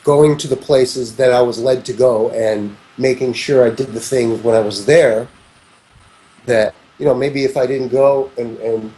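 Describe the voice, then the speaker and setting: A man's voice: medium pitch, steady tone, a little slow, mellow, low-quality recording. A man in his fifties, a music producer talking as an interview guest, rambling and reflective, often restarting his sentences. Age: 50s. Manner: rambling, frequent restarts and "you know"s, reflective. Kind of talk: casual conversational interview.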